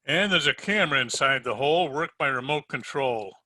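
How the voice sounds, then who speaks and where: A man's voice: medium pitch, expressive, fairly quick, pushed and bright, no room sound. A man playing a dry, wisecracking private detective in an old-time radio drama, narrating his case in hardboiled style. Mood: matter-of-fact. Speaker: amateur radio drama player.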